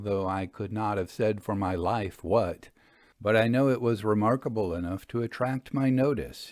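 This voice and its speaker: A man reading literary narration as a storyteller, with measured, deliberate phrasing. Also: lowish voice, darkish tone, no room sound. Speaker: storyteller, podcast host.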